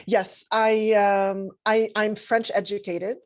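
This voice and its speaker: A woman in her fifties, born in Lebanon and raised speaking Arabic, guests on a podcast and recounts her past in an easy conversational tone. She talks at an even middle pitch, stopping often with ums and small restarts as she gathers her thoughts. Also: slow pace. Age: 50s. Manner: reflective, with frequent ums and self-corrections. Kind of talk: conversational interview, telling her life story.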